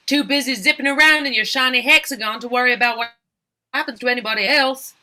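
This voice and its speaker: A woman's voice: sits high, fairly even intonation, quick pace, sharp tone. A woman voicing a scrappy mother rat in a comedy cartoon script, combative and sarcastic with folksy, slangy speech. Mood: scornful. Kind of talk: animated comedy table read.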